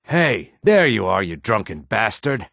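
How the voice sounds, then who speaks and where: Tough reptilian mercenary, low pitch, very animated intonation, confrontational, game dialogue. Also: male voice, a little slow, dry recording, clean studio sound, exaggerated voice. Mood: angry, demanding. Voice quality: husky, raspy. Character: brash male Argonian lizard-man, tough and mercenary. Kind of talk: video game character dialogue.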